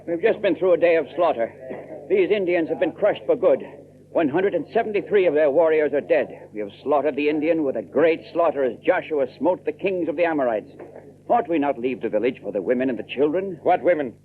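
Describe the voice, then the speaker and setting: A man's voice: a little high, animated, a little fast, close to an everyday voice. Man acting a stern Puritan colonel, narrow radio sound. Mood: grim, righteous. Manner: commanding, scripture-laden, formal oratory. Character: stern, ruthless Puritan colonel and landowner, pious and domineering. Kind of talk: audio drama acting.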